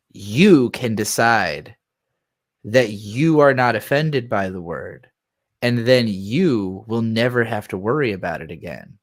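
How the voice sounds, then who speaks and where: A man giving casual, unscripted reaction commentary as a streamer, in a conversational, rambling and sweary way. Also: on the low side. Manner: conversational, rambling, sweary, acts out imagined replies.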